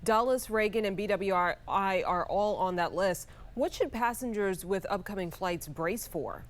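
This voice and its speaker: A woman with an American accent interviewing as a TV news anchor, in a polished, measured broadcast delivery. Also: medium pitch.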